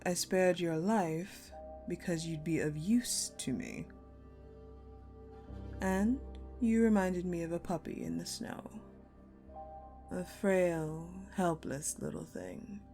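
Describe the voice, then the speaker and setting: Woman acting a slow, sadistic ice-queen general. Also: lowish voice, dark tone, faint music behind. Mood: cool, calculating. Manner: deliberate, condescending, mocking, with dramatic pauses. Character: sadistic, coldly menacing ice-queen general, commanding and mockingly sweet. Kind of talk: dark audio roleplay acting, addressed to the listener.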